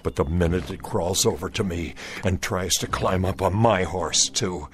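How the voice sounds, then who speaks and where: An actor playing a steely Confederate scout who speaks in a gruff, commanding drawl in an old radio drama. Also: male voice, low voice, normal speed, lightly acted. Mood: stern. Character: steely Confederate scout, young adult man, stern and dutiful. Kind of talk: 1960s radio drama acting.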